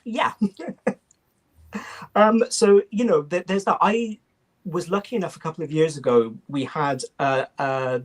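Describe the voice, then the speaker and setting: A man's voice: a little high, slow, pauses often. A man hosting a panel of language teachers, chatty with stumbling restarts. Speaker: host. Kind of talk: panel discussion.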